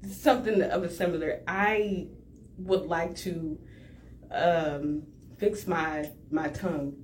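A woman with a Texan accent chatting as a podcast guest, playful and emphatic, quick to laugh. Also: low voice, fairly even intonation, slight background noise. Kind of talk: casual podcast chat.

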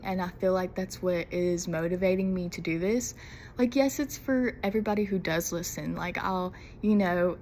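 A woman's voice: medium pitch, darkish tone, slight background noise. A young woman from Mississippi, hosting her first podcast episode in a casual, nervous, giggly, rambling way. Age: young adult. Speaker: podcast host. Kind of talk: casual solo podcast chat.

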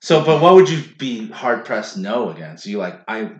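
A man chatting loosely on a podcast, rambling from one idea to the next and joking. His pitch swings widely as he talks. The room is roomy and echoey. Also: very animated, moderate pace. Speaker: podcast co-host. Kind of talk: casual podcast chat.

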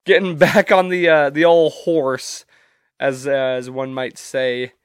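A man's voice: medium pitch, little pitch movement, slow pace, clear, resonant tone, dry recording, clean studio sound. A young man hosting a solo podcast, stuffy and congested from allergies, talking casually and rambling with loose, profane humor. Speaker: podcast host.

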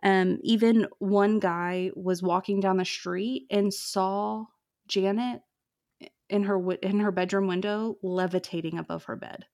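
A woman hosting a podcast, telling a true ghost story in a chatty way, with many "like"s and "um"s. She has a fairly deep voice. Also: fairly even intonation, a little slow, a little mellow. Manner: chatty, lots of "like" and "um". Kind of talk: casual storytelling chat.

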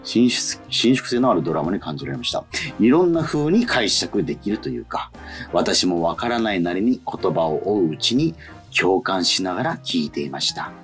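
A man's voice: sits low, big pitch swings, moderate pace, over music. A man hosting a radio show, reading listener letters aloud and chatting with guests.

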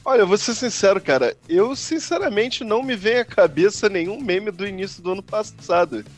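A man with a high-pitched voice and a Brazilian Portuguese accent talks through his ideas on a podcast. He is reflective and chatty, and builds his points slowly with examples, over faint music. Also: expressive. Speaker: podcast co-host. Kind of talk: casual podcast discussion.

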